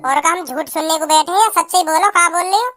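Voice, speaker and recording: A man voicing a stubborn, whining teenage son in a cartoon, with a western Uttar Pradesh accent and a pitched-up voice. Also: fast, music in the background. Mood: offended. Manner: argumentative, whining. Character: stubborn, indignant teenage son, proud of his schooling. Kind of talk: comic cartoon dialogue in rustic dialect. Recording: faint background noise.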